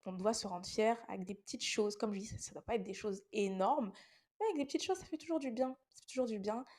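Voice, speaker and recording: A young woman hosts a faith podcast while she has a head cold. She speaks straight to her listeners in an earnest, encouraging tone, and her voice sits at an ordinary middle pitch. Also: quick pace, darkish tone. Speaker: podcast host. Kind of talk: casual faith talk podcast.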